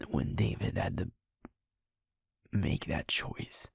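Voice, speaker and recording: A man acts out a sweet young werewolf boyfriend burdened by guilt. His voice is low and gravelly with a lot of breath in it, often sinking toward a whisper. He talks slowly, with heavy pauses, as if confessing in an intimate audio drama. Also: steady tone, no room sound, clean studio sound, full character voice. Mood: haunted, subdued. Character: sweet young male werewolf, guilt-ridden second in command of his pack. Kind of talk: intimate audio roleplay acting.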